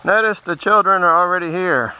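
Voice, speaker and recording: A man's voice: high voice, brisk, pushed and bright. A man making short joking remarks to his companion on a wooded trail hike. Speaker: riding companion. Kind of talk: casual chat on a hike. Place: rocky wooded trail outdoors. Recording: distant, muffled, noisy action camera audio.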